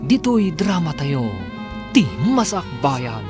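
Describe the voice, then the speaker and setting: A man narrating and announcing a radio serial from a script in a dramatic, drawn-out manner. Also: fairly high pitch, very animated, music in the background.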